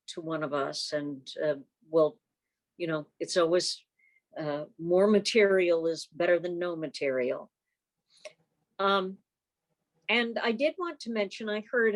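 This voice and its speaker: A woman chairing an informal club meeting over a video call, chatty and rambling with wry asides. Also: lowish voice.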